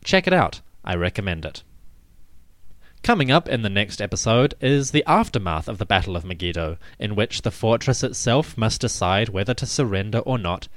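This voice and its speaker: A man hosting a podcast, reading scripted narration with articulate, deliberate phrasing. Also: on the low side, lively intonation, a bit bright, no room sound. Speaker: podcast host.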